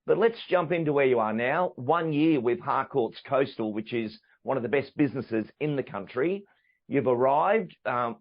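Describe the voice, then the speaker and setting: A man hosting a sales podcast and interviewing a guest, with an enthusiastic, polished presenter delivery. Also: mid-range voice. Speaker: podcast host and sales trainer. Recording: dull lo-fi audio with faint music.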